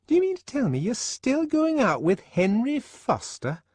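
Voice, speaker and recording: A man voicing a prim, fussy woman who scolds her friend in a shrill, disapproving tone. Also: wildly swooping pitch, normal speed, darkish tone, husky, no echo, exaggerated voice. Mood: scolding.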